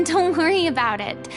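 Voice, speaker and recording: A gracious young female stage star in an anime game, with a high voice and eloquent, theatrical phrasing. She sounds poised and a little grand over clear background music. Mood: reassuring. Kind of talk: anime video game acting. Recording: music behind the voice.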